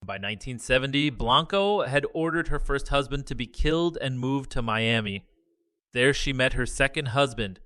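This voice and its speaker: A man reading a scripted news report in a measured, even delivery. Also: medium pitch, no room sound. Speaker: news narrator.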